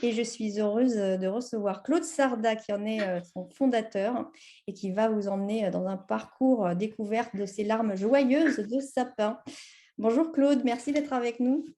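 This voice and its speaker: A woman hosting an online presentation, introducing her guest in measured, hesitant phrases. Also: fairly high pitch, warm tone, a little hiss or hum. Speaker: host.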